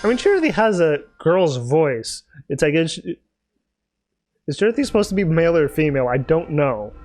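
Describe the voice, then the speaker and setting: A man's voice: fairly high pitch, big pitch swings, fairly quick, darkish tone, faint music behind. A man doing let's play commentary, reading game dialogue aloud in a playful, theatrical way with off-the-cuff asides.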